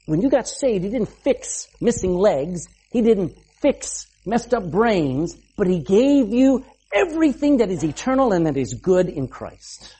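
Preacher, sermon, lively swooping delivery, mellow tone, emphatic, frequent amens. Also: mid-range voice, a little slow, few pauses, husky, rough recording. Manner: emphatic, rhetorical questions, frequent "amen".

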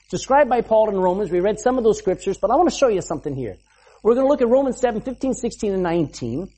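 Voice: medium pitch, dark tone, slightly rough, low-quality recording. A preacher giving an emphatic sermon, asking the listeners rhetorical questions and punctuating points with "amen".

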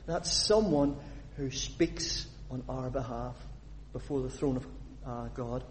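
Lively preacher from Northern Ireland. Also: male voice, medium pitch, slow, slight background noise. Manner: animated, lively audience questions, reads scripture aloud. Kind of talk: sermon. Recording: long hall reverb.

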